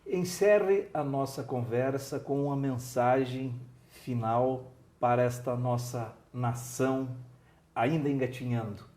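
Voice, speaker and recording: A man's voice: medium pitch, takes it slowly, dark tone. A man from southern Brazil hosting a conversational interview, earnestly rallying his listeners to support their country's culture. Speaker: interviewer, show host.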